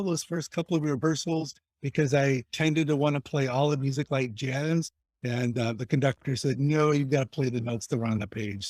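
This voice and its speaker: A man with a Chicago accent and a distinctly nasal voice, pitched a little above the usual for men. He talks in a friendly, self-deprecating way. The sound is dry and close to the mic. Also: darkish tone, dry recording. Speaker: program design lead. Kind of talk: webinar introduction.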